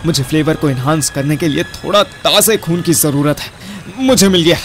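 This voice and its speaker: A man's voice: on the high side, moderate pace, faint music behind, close to an everyday voice. A man playing a gloating, sadistic cannibal killer in a horror-comedy audio drama, with a menacing, taunting manner. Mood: savouring. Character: gloating sadistic male cannibal killer savouring his hunt.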